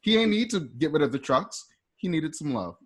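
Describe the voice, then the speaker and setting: Animated man narrating a radio play. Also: a little high, a little fast, slightly rough. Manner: conversational, with colloquial phrasing. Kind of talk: casual narration of screenplay action.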